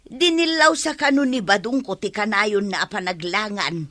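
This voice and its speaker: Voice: medium pitch, moderate pace, sharp tone, no room sound, lightly acted. A performer playing a needling village gossip in a radio comedy. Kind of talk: radio comedy drama acting.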